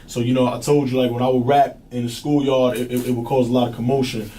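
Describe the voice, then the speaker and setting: A young rapper from New with a strong, deep voice talks fast in loose street slang. He sits in a radio studio. The mic is some distance away and picks up a faint hiss. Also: flat delivery, mellow. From New Jersey. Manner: laid-back street slang, keeps saying "you know what I'm saying". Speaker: rapper guest. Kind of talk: casual interview chat.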